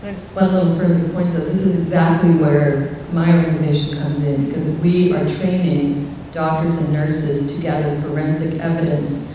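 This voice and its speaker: Woman, expert panelist, careful formal wording, low pitch, echoey room, rumbling background noise. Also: fairly even intonation, normal speed, dark tone. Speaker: expert panelist from a humanitarian or rights organization. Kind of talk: panel discussion, considered explanation. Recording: very echoey hall, distant mic, noisy rumble.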